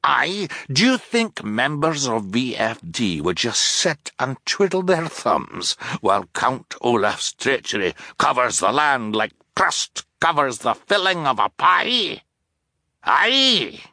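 A man performs a blustering submarine captain from a storybook. The voice leaps around in pitch with a hard, forceful edge, throwing out urgent, repeated exclamations in frantic bursts. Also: sing-song, slow pace, no room sound, studio quality, exaggerated voice. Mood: astonished, blustering. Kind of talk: audiobook character voice.

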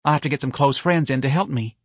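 A man voicing an effeminate young man, breathy, gushing and dramatic, as a character in an audiobook. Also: medium pitch, fast talker, dry recording, clean studio sound, pitched above the performer's own voice, close to an everyday voice. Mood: gushing, delighted.

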